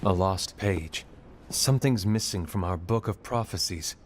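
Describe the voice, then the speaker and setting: An actor playing a grave, suspicious young leader in a fantasy game cutscene, speaking in a measured, formal way. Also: male voice, deep voice, a little hiss or hum. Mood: troubled, pensive. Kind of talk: dubbed animated fantasy game cutscene acting. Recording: orchestral game score behind voice. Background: music.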